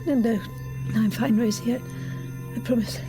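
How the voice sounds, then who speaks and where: A woman playing an anxious mother of young girls in an audio drama, brisk and strained as she hides her dread. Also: on the high side, fairly even intonation, over music, close to an everyday voice. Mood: strained reassurance.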